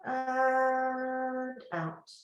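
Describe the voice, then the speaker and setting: A woman teaching yoga nidra, guiding a relaxation gently and without hurry, with long pauses between phrases. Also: high voice, reverberant. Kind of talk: guided relaxation meditation.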